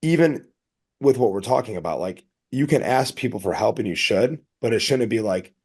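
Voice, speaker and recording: Low-pitched man chatting on a podcast. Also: animated, moderate pace, darkish tone, husky. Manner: rambling, earnest, anecdotal. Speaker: podcast co-host. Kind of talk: casual podcast chat.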